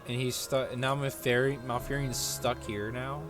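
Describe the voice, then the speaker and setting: A man's voice: mid-range voice, little pitch movement, moderate pace. A man giving casual playthrough commentary as a gaming video creator, informal, rambling and sweary. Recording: game music behind voice.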